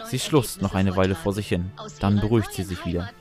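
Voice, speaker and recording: A man's voice: deep voice, fairly even intonation, fairly quick. A young man reading a first-person fan fiction story as scripted narration with acted dialogue. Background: background music.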